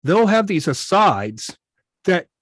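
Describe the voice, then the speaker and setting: Higher-voiced man explaining in a dry recording. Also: big pitch swings, takes it slowly, a bit bright, no room sound. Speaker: guest, old-time radio station operator. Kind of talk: casual explanatory discussion. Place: online video meeting.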